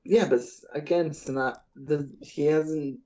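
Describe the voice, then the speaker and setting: A man's voice: a little high, steady tone, unhurried, dark tone, highs cut off. A man co-hosting a podcast in casual banter, laid-back and chatty, with filler words and some swearing. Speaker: podcast co-host.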